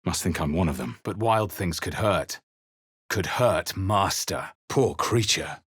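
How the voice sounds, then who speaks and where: A fantasy game hero with a low, husky voice. He is earnest and wry, telling his quest with measured, brooding drama in a close, dry sound. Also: a bit bright, dry recording, full character voice. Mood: wry, musing. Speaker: video game player-character voice actor. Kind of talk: dramatic game narration and short character barks.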